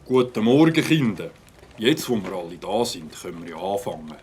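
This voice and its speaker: A man's voice: low-pitched, sing-song, normal speed, a little mellow, breathy edge, heavily acted. An actor playing a stern, pedantic village policeman with a formal, officious manner in an audio drama. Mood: measured, official.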